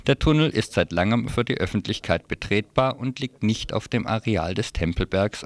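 A man presenting a scripted radio press review in a wry, colloquial manner. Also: lowish voice.

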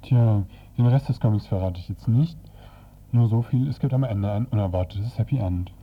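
Low-voiced man reviewing on radio, dull sound. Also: moderate pace, husky. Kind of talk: radio review and story narration. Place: indoors, radio show. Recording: slightly dull, lo-fi radio recording.

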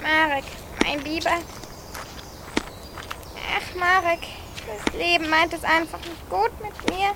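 A woman's voice: very high voice, fairly even intonation, slow, creaky, long pauses, background noise, heavily acted. A woman playing a lonely, fretful old grandmother in an amateur radio play, chatty, wistful and clinging. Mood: sorrowful, worried. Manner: wistful, chatty, clinging, addresses others as my child. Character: lonely, doting, fretful old granny dreaming of America.

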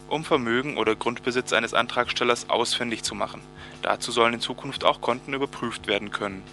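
A man's voice: medium pitch, bright, forceful tone. A man reading a scripted news report as a radio presenter.

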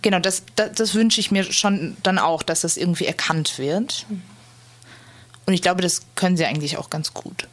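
A woman talking informally as an interviewee about personal experience, hesitant and with many filled pauses. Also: lowish voice. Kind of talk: casual personal interview account.

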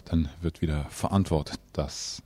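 A male radio co-presenter signs off in conversation. His voice is low and extremely dark and soft, in a dry close recording. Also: steady tone, moderate pace, dry recording. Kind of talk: studio sign-off chat.

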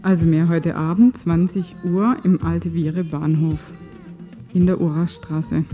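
A radio speaker gives an event announcement in a flat, steady pitch. The recording is a little dull. Faint music plays behind the voice. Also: unhurried.

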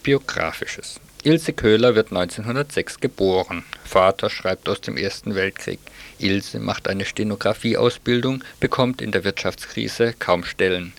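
Male radio culture reporter, low-pitched, delivering scripted review narration. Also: unhurried, vocal fry.

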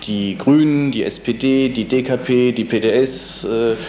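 A man gives a spontaneous interview as an organizer, feeling his way through sentences with frequent hesitations. His voice is dark and relaxed, at an average pitch. The room echoes around him and there is faint noise behind. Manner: halting, searching for words, many hesitations.

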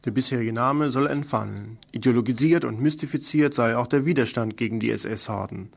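A man presents scripted news on the radio. His voice sits at a middling pitch and has a noticeable creak and gravel to it. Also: a little fast.

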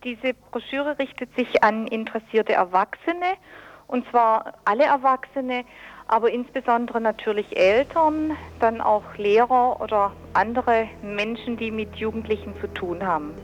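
A woman's voice: on the high side, unhurried, lo-fi sound. A woman, a youth protection staffer, explaining things as a guest in a telephone interview.

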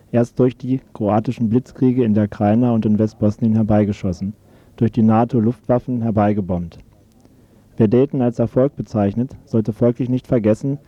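A man's voice: medium pitch, warm tone, no room sound, lo-fi sound. A man reading a scripted press review as a radio presenter.

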